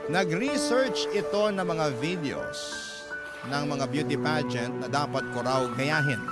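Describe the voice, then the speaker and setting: Higher-voiced Filipino male storyteller over loud music. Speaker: storyteller, radio host. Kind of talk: scripted first-person dramatic narration.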